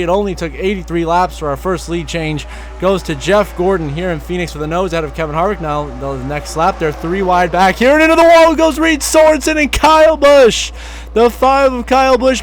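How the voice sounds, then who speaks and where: A hoarse young man doing play-by-play race commentary as a voiceover. His calls rise with excitement at crashes and passes. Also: high voice, slight background noise. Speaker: sim racing commentator.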